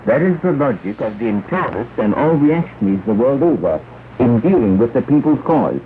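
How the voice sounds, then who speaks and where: A man's voice: medium pitch, very animated, fairly quick. Declamatory man on crackly 1960s shortwave radio. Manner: solemn, emphatic, declamatory. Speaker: radio announcer. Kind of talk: 1960s shortwave propaganda broadcast, reading quotations. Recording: narrow, noisy 1960s shortwave radio recording.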